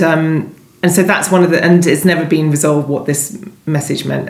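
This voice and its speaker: Low-voiced woman in an echoey room. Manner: hesitant, thinking aloud, often rephrasing mid-sentence. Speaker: guest novelist being interviewed. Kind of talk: conversational author interview. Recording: roomy, echoey sound.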